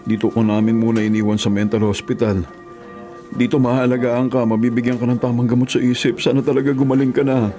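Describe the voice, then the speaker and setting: An actor playing a devoted, burdened eldest son, hesitant and earnest, in a Filipino radio drama. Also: male voice, mid-range voice, level tone, fast, close to an everyday voice. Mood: pleading. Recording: loud music bed behind voice.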